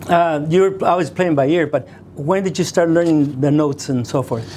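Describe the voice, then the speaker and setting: An upbeat male host introduces his show and interviews a guest. His voice sits a little high, and he keeps up a stream of encouraging affirmations. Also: normal speed, darkish tone, a little hiss or hum. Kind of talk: scripted show intro, then casual interview.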